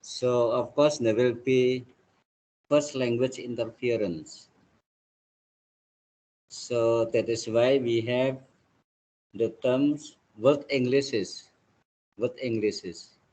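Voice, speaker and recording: A man from Indonesia with an Indonesian accent, a native Indonesian speaker, lecturing a class on linguistics in a halting way, often saying "let's say, like". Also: mid-range voice, fairly even intonation, darkish tone, poor audio.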